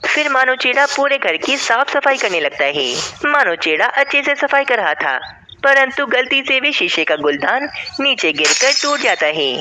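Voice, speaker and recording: A woman's voice: high-pitched, very animated, moderate pace, background noise. A woman narrating a scripted children's cartoon story as its storyteller.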